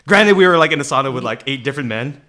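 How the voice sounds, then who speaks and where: A man's voice: medium pitch, animated, rapid-fire, bright, forceful tone. A young man co-hosting a podcast, chatting casually and slangily with friends and often repeating himself as he agrees. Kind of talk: casual chat between friends.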